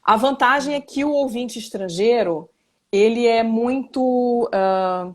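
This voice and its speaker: A woman's voice: on the high side, slow. A Brazilian woman who works as a simultaneous interpreter and journalist, explaining her work in a casual live interview with examples and self-corrections. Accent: Brazilian Portuguese. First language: Portuguese. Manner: reflective, explains with examples and restarts.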